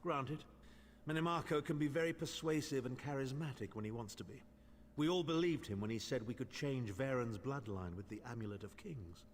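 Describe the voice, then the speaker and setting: A man's voice: mid-range voice, brisk, lightly acted. Guy voicing a snooty old imperial mage, sarcastic, game dialogue, echoey reverb. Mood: condescending. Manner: condescending, dryly sardonic. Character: haughty older male imperial noble mage.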